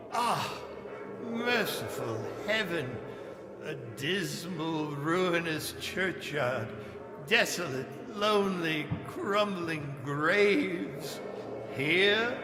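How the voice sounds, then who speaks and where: A man playing an old miser in a theatrical staged audio drama, with a halting, stammering, melodramatic delivery. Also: high-pitched, exaggerated voice. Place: live stage in a library hall. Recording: echoey hall, music behind.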